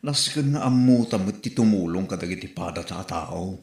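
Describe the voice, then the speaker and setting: A performer playing a reasonable, charitable villager who gently questions and reproaches in a comedy audio drama. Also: deep voice, animated, normal speed. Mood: reproachful. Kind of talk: comedy radio drama acting. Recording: echoey room.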